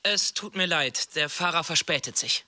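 An actor playing a polite, evasive hotel receptionist in a radio play, courteous, formal and deferential. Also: male voice, a little high, normal speed, background noise, close to an everyday voice. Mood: apologetic, formal.

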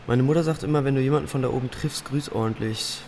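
A naive, gossipy young man voiced by an actor in a radio crime drama. He chats quickly in clipped colloquial phrases with a creaky voice, over faint noise. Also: medium pitch, a little mellow, lightly acted. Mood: chatty.

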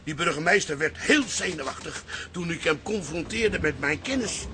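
A man's voice: a little high, lively intonation, normal speed, pushed and bright, slight background noise. An actor playing an indignant, boastful old activist father in a radio drama, declaiming self-importantly. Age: older.

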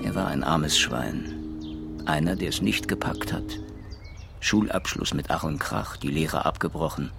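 A man playing a persistent, eloquent police inspector in a radio crime drama, speaking deliberately and theatrically. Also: a bass voice, creaky, exaggerated voice. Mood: measured, grave. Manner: deliberate, emphatic, rhetorical.

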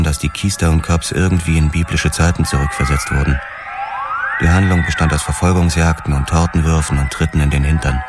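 An actor playing a driven, obsessive police detective who narrates his investigation in a terse, intense radio drama style. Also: extremely low pitch. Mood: tense, obsessive. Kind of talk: hardboiled first-person radio drama narration. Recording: music under the voice.

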